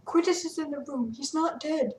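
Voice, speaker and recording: A woman's voice: sits high, normal speed, darkish tone, slight background noise, pitched above the performer's own voice, natural voice. A woman reading a storybook, voicing an earnest young boy who comforts his friend, with a roomy echo from a distant mic. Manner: soothing, earnest.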